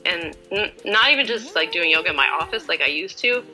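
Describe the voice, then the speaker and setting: A woman's voice: lowish voice, animated, fairly quick, sharp tone, faint music behind, low-quality recording. A woman in her forties answering as an interview guest in a casual, chatty, informal and self-deprecating way. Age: 40s.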